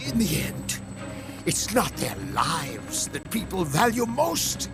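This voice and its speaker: An actor playing a smug middle-aged villain in a video game, a gloating fanatic with theatrical, drawn-out delivery. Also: male voice, high voice, sing-song, over loud music, full character voice. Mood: scornful. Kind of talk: dramatic video game acting.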